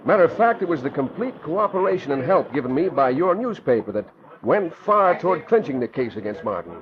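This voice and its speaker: Genial American family man and lawyer, animated higher voice, old radio play with busy background. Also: fairly quick, dull audio, a crowd in the background. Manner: jovial, animated. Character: genial, confident middle-aged prosecutor and father. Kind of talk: 1940s radio drama acting.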